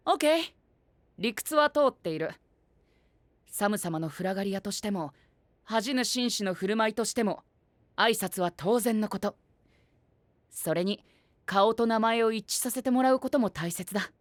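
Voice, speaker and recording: A man playing a haughty, fussy young gentleman knight in an anime-style audio drama, boyish and theatrical, peppering his speech with English exclamations. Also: high voice, expressive, moderate pace, long pauses, no echo. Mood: smug. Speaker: voice actor. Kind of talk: animated comedic character banter. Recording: studio voice over prominent background music.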